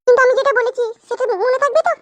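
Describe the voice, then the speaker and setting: A woman playing a shy, hesitant young wife in a comedy cartoon, with a squeaky childlike voice. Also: fairly even intonation, racing, dry recording. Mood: hesitant, demure.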